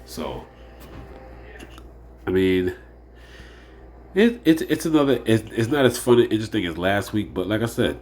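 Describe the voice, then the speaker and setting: A man's voice: on the low side, expressive, normal speed, pauses often, a little mellow, a little hiss or hum. A man hosting a casual solo fantasy sports preview. He sounds nasal and a bit under the weather, and he rambles with lots of fillers and repeated phrases. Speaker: fantasy sports show host.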